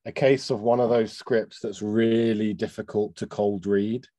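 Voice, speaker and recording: Low, mellow man, thoughtful and halting. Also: steady tone, dry recording, clean studio sound. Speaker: casting director on a feedback panel. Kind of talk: informal spoken feedback.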